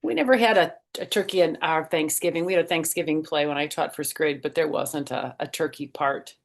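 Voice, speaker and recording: Lower-voiced woman reading to children. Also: fairly quick. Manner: explains and asks young listeners questions. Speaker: storytime reader and former first grade teacher. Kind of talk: children's picture-book read-aloud with asides to listeners.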